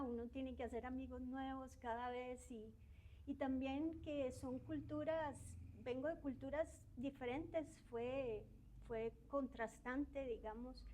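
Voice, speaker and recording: Middle-aged academic woman from the southern cone, shy interviewee, mellow higher voice. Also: a little hiss or hum, poor audio. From Argentina and Uruguay. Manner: shy, soft-spoken, tag questions and hesitations. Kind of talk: reflective interview.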